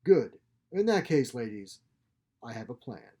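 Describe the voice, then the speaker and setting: Guy doing a wry scheming scientist in a space audiobook, kinda theatrical, raspy soft tone. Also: mid-range voice, sing-song, measured pace. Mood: wry, confident. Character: exiled male scientist, wry, scheming, feigning desperation.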